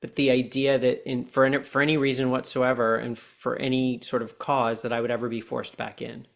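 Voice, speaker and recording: A man in his forties, a podcast guest and coach, talking earnestly and slowing down to stress his points. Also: mid-range voice, little pitch movement, gravelly. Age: 40s. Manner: deliberate, earnest coaching tone, slows down for key points. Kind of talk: reflective podcast conversation.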